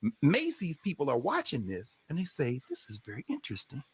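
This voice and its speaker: Husky, animated man telling it big. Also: a little fast. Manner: animated storyteller, rhetorical questions, swinging pitch. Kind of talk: casual chat.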